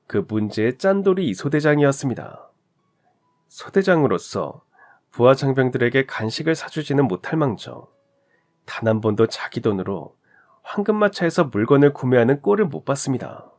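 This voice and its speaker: A man's voice: mid-range voice, a little mellow. A young man narrating a scripted story in a conversational way, speaking directly to the listener.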